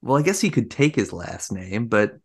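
Podcast co-host with swooping, animated pitch. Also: moderate pace, gravelly, slightly rough. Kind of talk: casual chat recapping a film.

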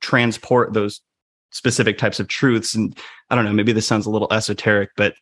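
Man, podcast host, low voice, hesitant musing delivery, casual chat, dry close recording. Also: little pitch movement, a little fast, fairly bright tone, no room sound. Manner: thinking aloud, hesitant, with fillers and analogies. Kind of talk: conversational interview.

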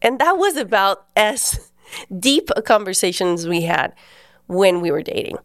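A woman's voice: medium pitch, sing-song, a little slow, fairly bright tone, dry recording, studio quality. A woman, hosting a podcast and telling a personal faith story in an earnest, conversational way. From Guatemala. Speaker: podcast host.

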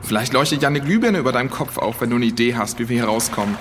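A man's voice: mid-range voice, expressive, very fast, fairly bright tone, a little hiss or hum, natural voice. A male actor playing a grumbling, reluctant and sarcastic boy adventurer in a children's audio drama. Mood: sarcastic, gloomy.

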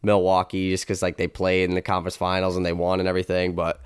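A man's voice: low voice, completely flat delivery, fast, smooth, no room sound, studio quality. A young man hosting a sports podcast, his voice stuffy and congested from a blocked nose. He chats casually and rambles, with many fillers and restarts. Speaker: podcast host.

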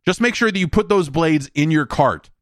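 A man's voice: on the high side, expressive, normal speed, a bit bright, no echo, clean studio sound. A man hosting a solo podcast, talking in a rambling, informal, wry way, moving between casual commentary and ad reads. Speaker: podcast host. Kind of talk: casual solo podcast talk and host-read ad.

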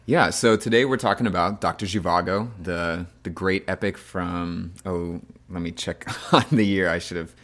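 Low-voiced man hosting a casual podcast. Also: normal speed, hardly any pauses, fairly bright tone. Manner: informal, loose phrasing full of hedging "like". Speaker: podcast host. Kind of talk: casual film discussion.

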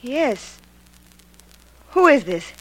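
A woman's voice: sits high, very animated, extremely slow, full character voice. A woman playing a spirited young actress in an old radio mystery. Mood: wary. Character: spirited young actress, engaged, wisecracking but uneasy. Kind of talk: 1940s radio acting. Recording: faintly noisy old radio recording.

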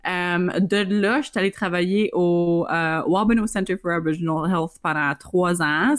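A young woman in her twenties with a Franco-Ontarian French accent and a slightly low voice. She co-hosts a casual podcast, chatting easily, laughing often and dropping in English words. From northern Ontario, Canada. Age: 20s. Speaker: podcast co-host, events coordinator.

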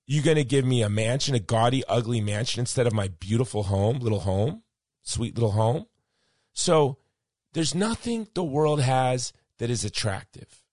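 Hoarse man hosting a podcast. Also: mid-range voice, a little mellow, no room sound, studio quality. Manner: rambling, personal storytelling with frequent ums. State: losing his voice, hoarse. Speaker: podcast host. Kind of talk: casual monologue wrapping up a podcast.

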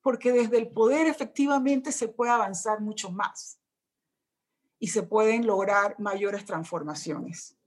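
A woman speaking on a panel, measured and heartfelt. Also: medium pitch. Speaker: panelist, former minister. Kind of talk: panel discussion remarks.

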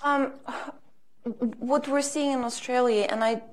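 A woman's voice: high-pitched, fairly even intonation, unhurried. A woman speaking on a conference panel, answering questions carefully with many fillers and restarts. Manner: hesitant, careful, many ums and self-corrections. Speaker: panel speaker on energy markets.

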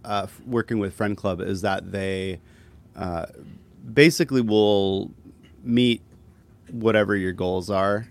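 A man chatting casually as a musician being interviewed, talking in a laid-back, self-deprecating way with halting phrasing. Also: on the low side. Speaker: musician guest.

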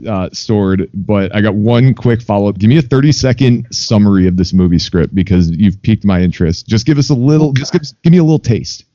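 A man's voice: fairly low pitch, fairly quick, hardly any pauses, mellow, no echo, dull audio. A man co-hosting a podcast in a laid-back, informal interview style, often restarting and repeating words. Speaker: podcast co-host.

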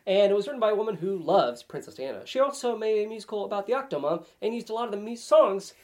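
A man's voice: sits high, expressive, quick pace, darkish tone. A man chatting casually as a podcast guest in a playful, rambling, comic way.

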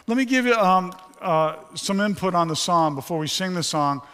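A man's voice: high-pitched, lively intonation, moderate pace, a bit bright, clear, resonant tone. A male pastor preaching, his voice weakened after a stroke, candid and joking with the congregation. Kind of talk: sermon. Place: church sanctuary. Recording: long hall reverb.

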